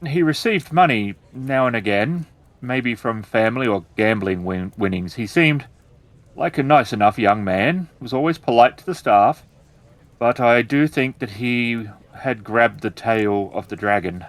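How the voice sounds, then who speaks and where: A man playing a polite, cautious innkeeper in a samurai-era tabletop story, with a deferential, measured way of talking. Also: mid-range voice, dry recording, natural voice. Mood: apologetic, careful.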